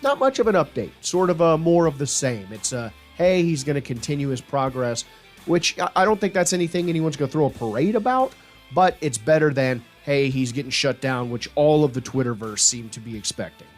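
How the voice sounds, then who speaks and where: A man's voice: a little high, animated, brisk, soft background music. Casual man hosting a sports podcast. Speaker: podcast host.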